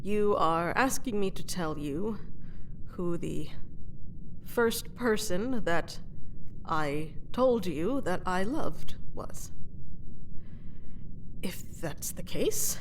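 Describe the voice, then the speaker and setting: A woman playing a proud, sardonic captured fantasy queen and former mercenary in a scripted audio roleplay, speaking in a measured, haughty, dramatic way. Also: on the low side, expressive, slight background noise, full character voice. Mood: reluctant, wistful.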